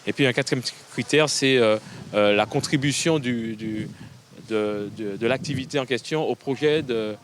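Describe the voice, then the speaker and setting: A man, a project official, explaining in an interview, halting and searching for words. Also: medium pitch. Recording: loud outdoor traffic noise.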